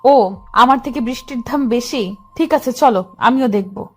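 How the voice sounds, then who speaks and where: A woman's voice: on the high side, a little slow. A woman playing a hurt, devoted young wife and mother in a dramatised audio love story. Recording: music under the voice.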